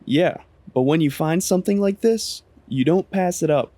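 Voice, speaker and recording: A man's voice: on the high side, moderate pace, warm tone, dry recording. A man narrating a gaming video in a casual, wry, slangy way. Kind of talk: casual gameplay commentary.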